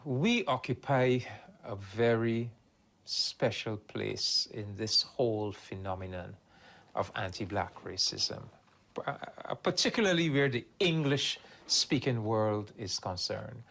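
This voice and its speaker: A man with a Barbadian accent speaking at an ordinary pitch. He explains in a measured, emphatic, lecturing way, like a teacher in an interview. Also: gravelly, fairly bright tone.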